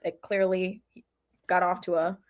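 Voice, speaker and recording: A woman's voice: fairly low pitch, level tone, measured pace. A woman co-hosting a soccer podcast in a casual, conversational way. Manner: informal, conversational. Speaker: podcast co-host.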